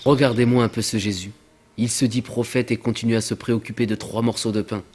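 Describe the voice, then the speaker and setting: A man's voice: mid-range voice, little pitch movement, a little fast, darkish tone, lightly acted. A man voicing a greedy, cowardly traveling companion in a religious cartoon, given to sly muttered asides and jittery protests. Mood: sly, scheming. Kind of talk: dubbed religious cartoon acting. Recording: music under the voice.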